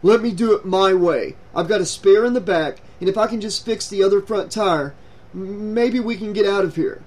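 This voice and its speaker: A man voicing a hot-headed, frightened young man in a story, snapping and shouting in frustration. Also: a little high, measured pace, lightly acted. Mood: urgent.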